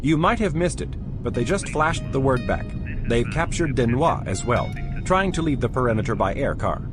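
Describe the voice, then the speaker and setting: A man's voice: medium pitch, expressive, moderate pace, over music, close to an everyday voice. A man voicing a dutiful male chief of staff who reports politely and deferentially.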